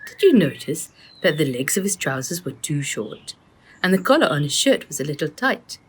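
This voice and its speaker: A woman's voice: low-pitched, big pitch swings, normal speed, breathy edge, exaggerated voice. A woman voicing an earnest, observant boy who reasons carefully in a children's storybook. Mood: suspicious, reasoning. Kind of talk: children's storybook read aloud.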